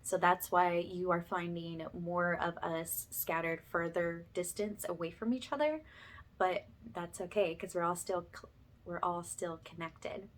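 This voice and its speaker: A woman's voice: lowish voice, fairly even intonation, measured pace. A woman hosting a spiritual ASMR channel, speaking to her viewers in an earnest, affectionate and reassuring way.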